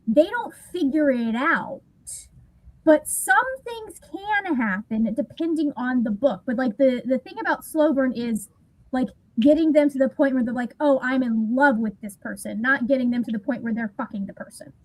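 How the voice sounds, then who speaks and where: High-pitched woman, blunt and casual. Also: moderate pace, dry recording, low-quality recording. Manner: blunt, casual, filler-heavy opinions. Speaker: co-host. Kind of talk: casual chat on a video call. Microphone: video call.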